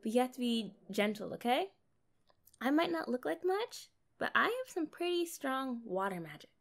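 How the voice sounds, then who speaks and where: Casting: woman to play sweet, naive mermaid for ASMR roleplay. High voice, swooping intonation, unhurried, playful, chatty delivery. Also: vocal fry, full character voice. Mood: curious, cautious. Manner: playful, chatty, expressive, drawn-out phrasing. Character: kind, bubbly, naive young female mermaid. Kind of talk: ASMR roleplay addressed to the listener.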